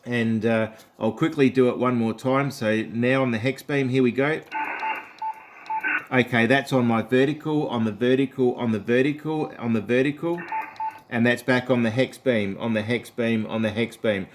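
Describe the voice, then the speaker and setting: A man's voice: mid-range voice, steady tone, normal speed, noisy background. A man with an Australian accent talking casually and chattily as an amateur radio operator during a contact.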